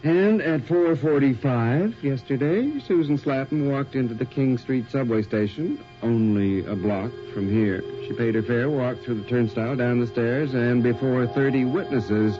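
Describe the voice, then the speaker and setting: A man's voice: medium pitch, a little slow, hardly any pauses, natural voice. Guy acting a police detective in an old radio play, matter of fact, probing, dull radio sound w/ music behind. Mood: grave, factual. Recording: band-limited 1980s radio drama, music behind.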